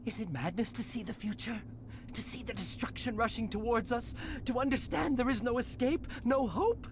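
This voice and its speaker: A frantic, unhinged scientist chanting omens of doom in a thin, high voice that drops to eerie half-whispers. Steady noise hisses behind him in this video game scene. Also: male voice, moderate pace. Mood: feverish. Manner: ominous, feverish, half-whispered pronouncements.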